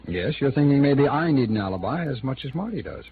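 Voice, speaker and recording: A man acting a smooth-talking but defensive American city attorney in his thirties, in an old-time radio drama. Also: mid-range voice, sing-song, a little fast, close to an everyday voice. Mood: candid, defensive. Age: 30s. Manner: hesitant, defensive, conversational. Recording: phone-like, noisy old radio recording.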